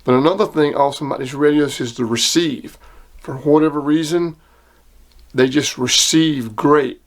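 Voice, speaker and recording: A man's voice: a little high, normal speed. A man casually showing off radio gear, plain-spoken and enthusiastic, like a hobbyist hosting his own video. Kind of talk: casual product demonstration.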